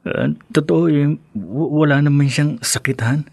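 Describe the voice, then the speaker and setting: A man's voice: fairly high pitch, unhurried, vocal fry, no echo. An actor with a Filipino accent playing a meek, hesitant, apologetic young husband and father in a radio drama. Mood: sheepish.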